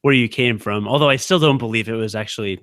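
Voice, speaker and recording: A man in his thirties co-hosting a podcast, chatting casually in a quick, halting way full of fillers. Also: mid-range voice, fairly bright tone, no echo, clean studio sound. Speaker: podcast co-host. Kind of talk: casual chat.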